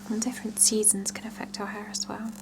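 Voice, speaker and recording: A woman's voice: mid-range voice, monotone, fast talker, poor audio. Whispering woman in an ASMR salon roleplay. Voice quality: soft breathy whisper with creaky edges. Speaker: ASMR performer playing a salon scalp therapist.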